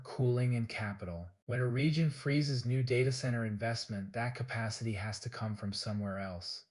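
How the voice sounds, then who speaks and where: Measured male news narrator. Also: medium pitch, a little mellow. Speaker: news host.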